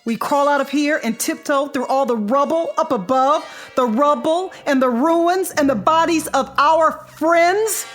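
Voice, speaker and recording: An actress playing a weary, despairing middle-aged American wife in a vintage radio drama, fatalistic and questioning. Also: female voice, high voice, unhurried, hardly any pauses, faint music behind.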